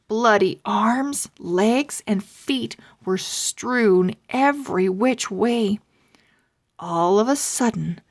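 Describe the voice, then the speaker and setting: A woman reading a children's story aloud as narrator, expressive and full of suspense. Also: medium pitch. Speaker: storybook reader.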